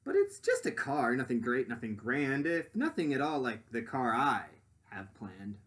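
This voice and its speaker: A man voicing an eager, boastful boy inventor from a storybook, presenting his dream creation like an excited showman. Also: medium pitch, normal speed. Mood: confident.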